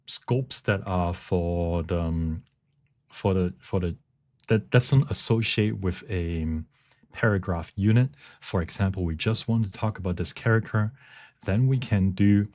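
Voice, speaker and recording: A man giving a technical presentation, speaking haltingly as he searches for words. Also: low-pitched, a little mellow. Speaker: conference presenter. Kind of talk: technical talk with live demonstration.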